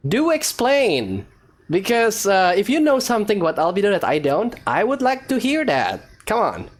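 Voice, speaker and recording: A woman's voice: medium pitch, very animated, moderate pace. A woman hosting a gaming let's-play, giving casual, playful commentary as she reacts aloud to the game. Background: game music.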